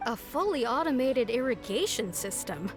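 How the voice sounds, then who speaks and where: A high female voice with lively swings, music behind it: a sceptical, sharp-minded academic assistant from a game. She sounds questioning and insistent. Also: moderate pace.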